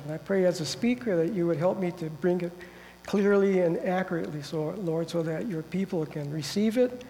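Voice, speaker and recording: A man with a stuffy, nasal voice from a head cold preaches and reads scripture aloud. His voice sits high but sounds soft and mellow. He speaks carefully and earnestly, and the sound rings out with a long reverb, as in a large hall. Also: slight background noise. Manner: careful, earnest delivery with frequent throat clearing. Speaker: guest preacher. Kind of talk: sermon with Bible reading.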